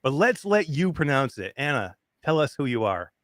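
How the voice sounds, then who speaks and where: A man of fifty hosting a live show in casual chat, reading out greetings with excitement. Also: medium pitch, normal speed, no echo, studio quality. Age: 50s. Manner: excited, animated chat shout-outs. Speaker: host.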